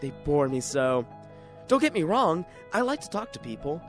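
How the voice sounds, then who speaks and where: A man's voice: on the high side, sing-song, normal speed, soft background music. A man playing a lonely, neurotic, paranoid writer in an introspective, theatrical radio drama monologue. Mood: whimsical, brooding.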